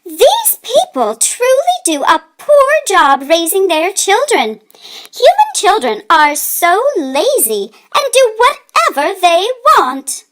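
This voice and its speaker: A woman's voice: very high-pitched, wildly swooping pitch, takes it slowly, a bit bright, voice pushed up, exaggerated voice. A woman voicing a scandalized, disapproving mother mouse in a children's storybook, indignant and haughty.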